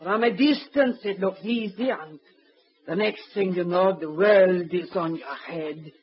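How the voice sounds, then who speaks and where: A woman's voice: lowish voice, measured pace, lightly acted. A woman playing a fearful, secretive landlady. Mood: guarded. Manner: guarded and brusque, urgent undertone. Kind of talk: 1940s radio acting. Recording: dull old radio recording with faint hiss.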